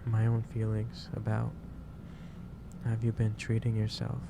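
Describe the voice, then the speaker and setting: A man playing a gentle, caring office boss in a soft-spoken ASMR roleplay, talking in a halting, reassuring way. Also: on the low side, completely flat delivery. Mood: patient, caring. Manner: halting, reassuring, unhurried delivery. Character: gentle, caring male office boss lecturing an overworked employee. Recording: clearly noisy background ambience.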